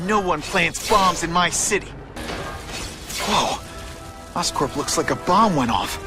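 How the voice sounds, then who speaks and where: A man's voice: fairly high pitch, expressive, unhurried, over music. A man voicing a young, quippy and earnest masked superhero in a video game. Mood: wisecracking. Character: young male masked superhero vigilante, wisecracking, earnest protector.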